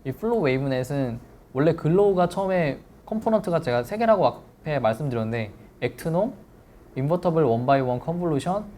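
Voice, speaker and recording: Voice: medium pitch, expressive, a little fast, mellow, a little hiss or hum. A lecturer giving a technical seminar, explaining step by step and checking back with listeners.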